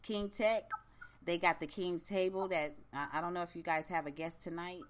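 A woman hosting a radio show in blunt, profane, laid-back slang, with a deep voice. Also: steady tone, moderate pace, slight background noise. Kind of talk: casual radio chat.

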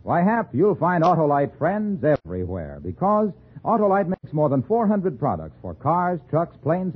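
A man's voice: on the high side, slightly rough. An American man announcing in a polished, emphatic 1950s radio sales style. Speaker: commercial announcer. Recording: muffled old radio recording.

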